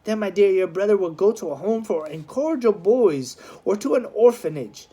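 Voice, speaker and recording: A woman's voice: medium pitch, expressive, fairly quick, dark tone, husky, voice pushed up. A woman voicing a cold, stern man in a storybook reading, speaking in a hard, threatening manner. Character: cold, stern Irish man, menacing future brother-in-law.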